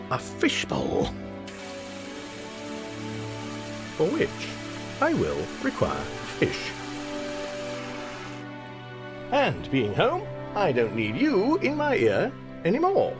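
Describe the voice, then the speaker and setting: A man's voice: high-pitched, huge pitch swings, unhurried, over music, heavily acted. An actor playing a mild, bemused man muttering wryly to himself in a radio drama monologue. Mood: wry, resigned. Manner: wry, musing, muttering to himself.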